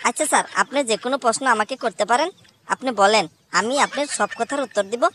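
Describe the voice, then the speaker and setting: Woman doing a cheeky cartoon school kid, kinda high voice, cocky and argues back, noisy background. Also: quick pace, a bit bright, close to an everyday voice. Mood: argumentative. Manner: cocky, argumentative. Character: cheeky schoolchildren answering back to their teacher. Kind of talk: comedy cartoon dialogue.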